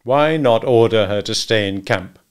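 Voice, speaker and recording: A man's voice: fairly low pitch, normal speed, clean tone, lightly acted. A man voicing a cool, self-assured hunter in a storybook reading, with a throaty, clipped, terse delivery. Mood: curt, cool. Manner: clipped, quiet-tempered, terse.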